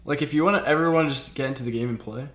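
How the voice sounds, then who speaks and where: A man with a mid-pitched voice talks informally as a streamer. His delivery is laid-back and conversational, circling back over his phrases as he explains things. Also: rapid-fire, fairly bright tone. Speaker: streamer, host. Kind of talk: casual gaming chat.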